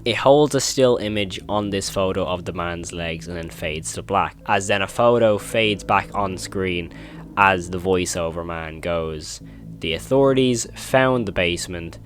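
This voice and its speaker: A man's voice: low-pitched, moderate pace, soft background music. A man hosting a horror video commentary, retelling and reacting in an informal, emphatic way.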